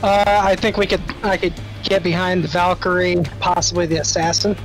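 A man with a high voice takes part in a tabletop game. He talks casually, with faint music in the background. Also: flat delivery, moderate pace, low-quality recording.

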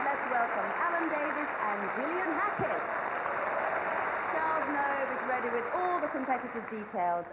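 A woman presenting a ballroom dance show from the stage, introducing the couples on a handheld mic. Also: sits high. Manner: poised, welcoming announcer. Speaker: host. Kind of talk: formal presenting. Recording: live ballroom broadcast with reverb. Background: loud crowd and room noise.

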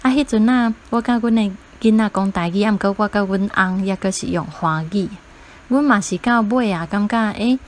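A woman's voice: mid-range voice, a little mellow, a little hiss or hum. A woman with a Taiwanese accent, a parent guest casually telling her own story. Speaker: parent guest sharing experience.

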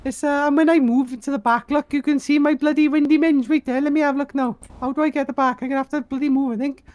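A woman's voice: high voice, fairly even intonation, fast, a little mellow, no echo. A woman, brash and flirty, swearing freely and calling people pet names in an improvised comedy sketch.

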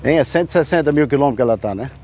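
A man from Brazil giving a folksy, chatty sales pitch while filming cars at an outdoor car fair on a handheld camera. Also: lively intonation, rapid-fire, a little mellow. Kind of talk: walkaround sales vlog. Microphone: handheld camera mic, filming.

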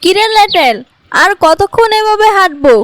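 A woman's voice: extremely high pitch, expressive, normal speed, a bit bright, slight background noise. A woman voicing a wicked, imperious, murderous queen in a cartoon fairy tale. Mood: stern.

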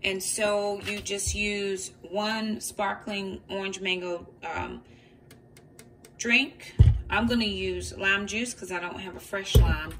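A woman's voice: medium pitch, steady tone, slow, a bit bright, soft background music. A woman, a mom vlogger, talking through a casual cooking walkthrough in her home kitchen, explaining each step with a few ums. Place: home kitchen counter indoors. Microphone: phone camera pointed down at the counter. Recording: voice a bit far from the mic, faint background noise.

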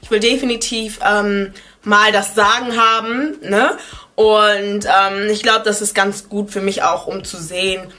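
Casting: woman in her twenties, lifestyle vlogger, bright and chatty, playful asides, talking to camera from her living room. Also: mid-range voice, fairly even intonation, unhurried. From Ghana. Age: 20s. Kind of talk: casual chat to camera. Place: living room at home, indoors.

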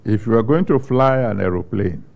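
An older man from Nigeria preaching a sermon and reading scripture, speaking slowly and deliberately with long pauses into a handheld microphone on a studio stage. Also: on the low side, expressive, vocal fry, a little mellow. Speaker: pastor. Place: studio stage with a large screen behind.

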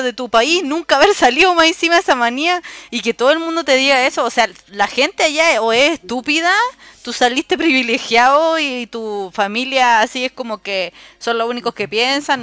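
A woman's voice: fairly high pitch, pushed and bright, clean tone, no echo. A Chilean woman hosting a casual voice chat on her stream. She is chatty, uses a lot of slang and laughs often. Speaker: streamer host.

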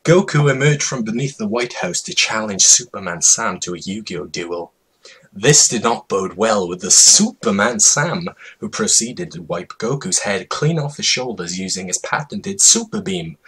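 A man giving a mock-dramatic reading of a comedic story in an over-the-top, deliberately absurd way, drawing out words. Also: on the low side, lively intonation, fairly bright tone, breathy edge, low-quality recording.

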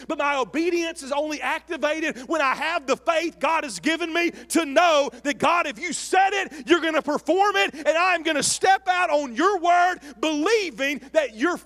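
A man in his forties preaching a sermon on a church stage into a handheld mic, animated and emphatic, rising to shouts. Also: high voice. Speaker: pastor. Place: large church sanctuary stage with audience. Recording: long hall reverb.

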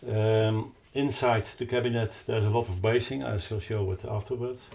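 An older man with a Dutch accent explains a product off the cuff, haltingly searching for words. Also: fairly low pitch, fairly even intonation, gravelly, darkish tone. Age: 60s or older. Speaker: loudspeaker designer presenting his product. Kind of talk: unscripted explanatory product talk. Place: carpeted, wood-panelled listening room indoors.

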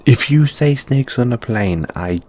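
A man voicing a huffy, easily flustered male lamia flatmate in an intimate audio roleplay, halting and defensive. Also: mid-range voice. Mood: curious, pouty. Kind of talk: intimate one-sided audio roleplay acting.

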